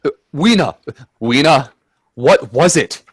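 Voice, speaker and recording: A man playing an earnest, uneasy gentleman adventurer in a radio play, telling his tale with theatrical, hesitant suspense. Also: a little high, lively intonation, takes it slowly, breathy edge, heavily acted. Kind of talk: dramatic radio play narration and dialogue.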